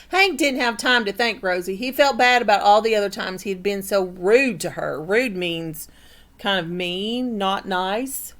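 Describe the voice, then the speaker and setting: A woman's voice: mid-range voice, a bit bright. A woman reading a picture book aloud as a teacher, stopping to explain and put questions to the listeners. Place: indoor room.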